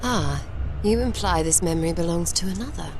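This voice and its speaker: An actress playing a refined, knowing fantasy champion with formal, precise diction in a video game cutscene. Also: female voice, fairly low pitch, lively intonation, brisk, noisy background. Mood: calm. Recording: music playing behind.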